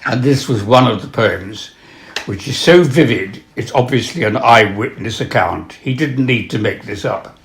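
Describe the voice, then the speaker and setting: A man presenting and reading literature aloud, with a measured, dramatic delivery when reciting. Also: mid-range voice, gravelly. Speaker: reader and presenter of poems and prose. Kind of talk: literary recital with spoken introductions.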